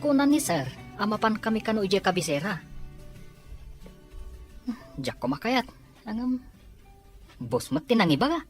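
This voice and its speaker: An easily surprised Ilocano employee, played by a woman exclaims and grumbles reluctantly. The mid-pitched voice moves up and down a lot in audio-drama style, over faint music. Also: sing-song, normal speed, long pauses, lightly acted. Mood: grumbling, reluctant.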